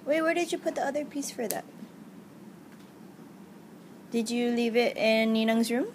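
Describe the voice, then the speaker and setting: A woman's voice: fairly high pitch, moderate pace, a little hiss or hum. A young woman filming her godchild at home, asking short, encouraging questions in a playful way. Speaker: godmother filming a child's pretend cooking show. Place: living room at home. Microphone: camera held by the speaker.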